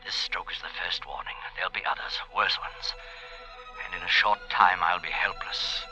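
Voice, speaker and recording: A man's voice: deep voice, steady tone, sharp tone, soft background music. An actor playing a weary, fatalistic man in his fifties, brooding aloud in a noisy 1940s radio drama. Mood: bitter, grim. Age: 50s. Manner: brooding, dramatic, haltingly musing. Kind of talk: 1940s radio drama acting, interior monologue. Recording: noisy, hissy 1940s radio broadcast recording.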